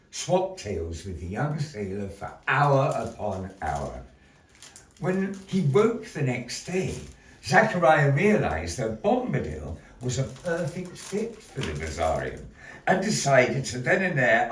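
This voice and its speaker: A man presenting a puppet show as a grandiose, old-fashioned showman, telling stories theatrically to a live audience. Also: mid-range voice. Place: gallery live performance, recorded from a distance. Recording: roomy, distant mic with background noise.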